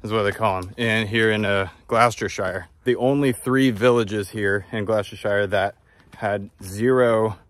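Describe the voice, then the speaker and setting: A man in his thirties chatting casually and reflectively to a phone while walking outdoors. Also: fairly low pitch. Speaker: travel vlogger. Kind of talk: casual walking vlog chat. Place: outdoors on a countryside footpath. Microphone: phone at arm's length.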